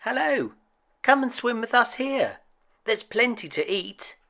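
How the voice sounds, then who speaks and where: Man playing kindly storybook swan, raised pitch, friendly, inviting, unhurried calling delivery. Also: very animated, vocal fry, pushed and bright, heavily acted. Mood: welcoming.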